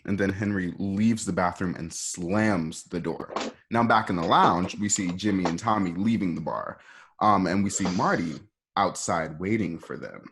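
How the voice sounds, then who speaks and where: A man's voice: sits low, normal speed, background noise. A man informally narrating stage directions for a radio play in a conversational, ad-libbing way.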